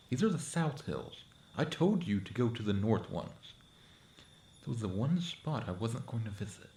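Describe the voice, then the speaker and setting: A man's voice: medium pitch, darkish tone, roomy sound, slight background noise. A young man acting in an audio roleplay as an awkward, sardonic friend who is secretly a monster, talking casually and hesitantly to the listener.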